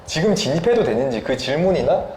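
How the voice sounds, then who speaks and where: Young man, mellow animated voice, echoey room. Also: fairly high pitch, fast talker. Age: young adult. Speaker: interviewed guest, software developer and startup founder. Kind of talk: casual interview answers. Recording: echoey room with background noise.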